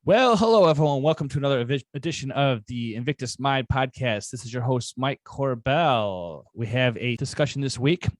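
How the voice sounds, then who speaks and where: Podcast host: American male, medium pitch, conversational delivery, dry studio-like sound. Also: lively intonation, fairly quick, hardly any pauses, dry recording.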